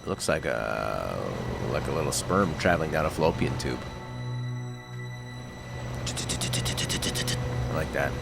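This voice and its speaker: A man casually commenting while streaming a video game, chatty and breaking into sudden excited exclamations. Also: very deep voice, slow pace, creaky, long pauses. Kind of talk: casual let's-play commentary. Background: game music and sound.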